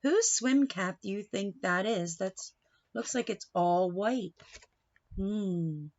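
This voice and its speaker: A woman reading a picture book to young children like a teacher, pausing to explain and put questions to her listeners. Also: mid-range voice, a little mellow, dull audio. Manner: instructive, questioning, addresses young listeners directly.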